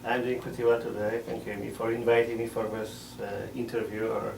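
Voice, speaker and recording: A middle-aged man, a missionary priest answering interview questions in a measured way, often pausing to find words. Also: mid-range voice, little pitch movement, gravelly, a little mellow, slight background noise. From Poland. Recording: roomy sound from a distant mic.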